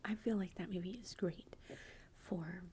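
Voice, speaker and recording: A woman's voice: lowish voice, fairly even intonation, a little slow, slight background noise. Whispering chatty mother. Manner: soft unvoiced whisper, chatty with many fillers. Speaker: mother recommending a movie. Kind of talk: whispered casual storytelling.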